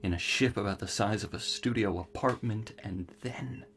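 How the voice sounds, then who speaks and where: Male scientist for sci-fi audio drama: low, creaky voice, cerebral, deliberate, melancholy. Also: fairly bright tone. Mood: earnest, confessional. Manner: halting, deliberate, formal wording.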